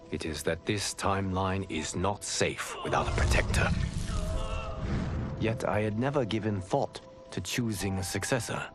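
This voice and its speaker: Game cutscene, male keeper-of-time deity: deep, slow, solemn, formal, over music. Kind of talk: scripted cutscene narration.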